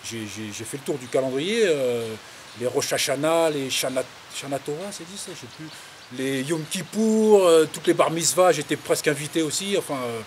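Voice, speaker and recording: A man's voice: a little high, animated, normal speed. A man in his fifties from the Paris suburbs, casually recounting his life in a hesitant, rambling, colloquial way. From Paris suburbs, France. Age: 50s. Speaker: interviewee, farmer. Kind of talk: casual autobiographical interview, recounting anecdotes. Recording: clear background hiss.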